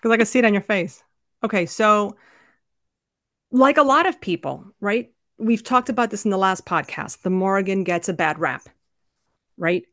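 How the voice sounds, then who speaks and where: A woman's voice: mid-range voice, expressive, moderate pace, long pauses, a bit bright, no room sound, clean studio sound. A woman co-hosting a podcast, chatting casually with playful jokes. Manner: joking, playful. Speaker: podcast co-host.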